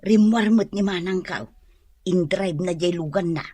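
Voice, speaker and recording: A woman's voice: on the low side, a little slow, warm tone, breathy edge, no echo. A woman with an Ilocano accent playing a middle-aged, money-minded mother in a radio comedy drama. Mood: nagging.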